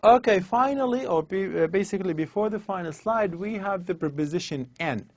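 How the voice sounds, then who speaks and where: A man lecturing on English grammar over slides, explaining slowly and repeating his examples. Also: high-pitched, lively intonation, no echo.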